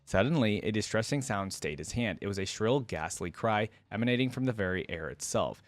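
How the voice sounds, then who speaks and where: A man's voice: fairly low pitch, animated, fairly quick, no room sound. A man hosting a reaction video, speaking informally as he comments and reads along. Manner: informal, thinking aloud. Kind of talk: casual reaction commentary mixed with reading a story aloud.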